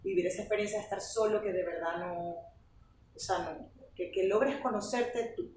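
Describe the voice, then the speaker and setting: A young woman with a Venezuelan accent, a guest telling stories in a lively, chatty podcast interview. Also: mid-range voice, normal speed. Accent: Venezuelan Spanish. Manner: chatty, animated storytelling. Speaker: guest, flamenco teacher. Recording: roomy, distant mic, faint noise.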